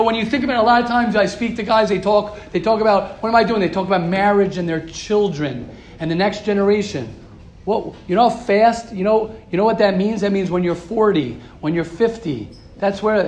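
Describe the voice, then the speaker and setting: A man's voice: high voice, reverberant, a little hiss or hum. A man teaching a Torah class to a live group, emphatic and repetitive, asking rhetorical questions. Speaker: rabbi giving a class. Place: room with a live audience.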